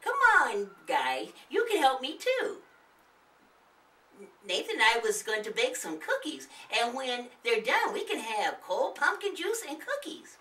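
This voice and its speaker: A woman's voice: on the high side, wildly swooping pitch, unhurried, sharp tone, voice pushed up, full character voice. A woman voicing an old wife in a children's story, scolding and motherly by turns, recorded roomily at a distance. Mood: kindly welcoming. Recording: roomy, distant microphone.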